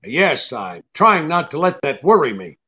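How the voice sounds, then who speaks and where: An actor playing a tough, shrewd private detective in a radio drama, brisk and commanding. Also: male voice, fairly high pitch, sing-song, pushed and bright, highs cut off, heavily acted. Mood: cool, bargaining. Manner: brisk, hard-boiled, commanding. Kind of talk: old-time radio drama acting.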